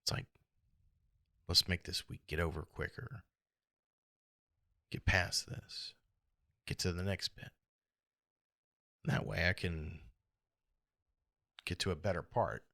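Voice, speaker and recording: A middle-aged American man with a hoarse, gravelly voice, talking alone in a reflective, halting and rambling way as a casual podcast host. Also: sits low, steady tone, sharp tone, dry recording, clean studio sound. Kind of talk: casual solo podcast monologue.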